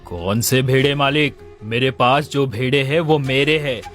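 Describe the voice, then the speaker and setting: A man's voice: mid-range voice, unhurried, a bit bright, natural voice. Man acting a glib village swindler over music. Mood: feigned innocence. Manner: glib, falsely innocent. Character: smooth-talking swindling male sheep thief. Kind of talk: Hindi animated moral story dialogue. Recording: music under the voice.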